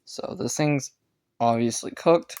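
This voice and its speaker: A man giving a casual, rambling hobbyist gadget review, halting and often correcting himself as he goes. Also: medium pitch, warm tone, smooth. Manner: halting, self-correcting, unscripted.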